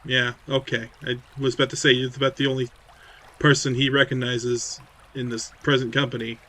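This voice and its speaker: A man's voice: medium pitch, level tone, brisk, pushed and bright. A man casually playing a tabletop horror game, moving between table talk and speaking as his character.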